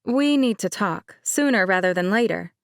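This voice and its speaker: A woman's voice: mid-range voice, lively intonation, moderate pace, clean tone, dry recording, close to an everyday voice. A direct, earnest young wedding planner with a firm, plain delivery, as a woman reads her lines. The sound is close and dry, with no room around it.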